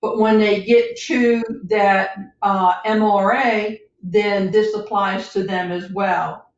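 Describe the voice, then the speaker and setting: A woman speaks as an advisor on a podcast, explaining things point by point with a halting delivery. Her voice is mid-pitched. It comes from some distance off the microphone in an echoey room. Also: fairly even intonation. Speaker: financial advisor guest on a podcast. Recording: roomy, distant, dull lo-fi microphone.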